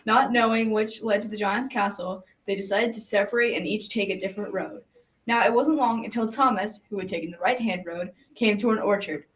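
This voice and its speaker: A woman's voice: medium pitch, brisk, natural voice. A young adolescent girl narrating a folktale as a grandfather in a scripted school radio drama. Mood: storytelling. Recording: faint noise, slightly distant microphone.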